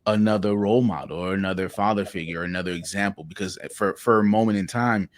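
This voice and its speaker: A man's voice: low-pitched, steady tone, fast talker. A man from Haiti, a podcast guest, tells personal stories in an earnest way, repeating words as he starts his sentences. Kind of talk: reflective personal storytelling.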